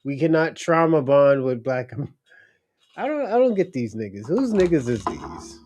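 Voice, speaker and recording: A man hosting a livestream, reacting in loud, laughing, slang-heavy casual commentary. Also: mid-range voice, big pitch swings, normal speed, background noise. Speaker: livestream host.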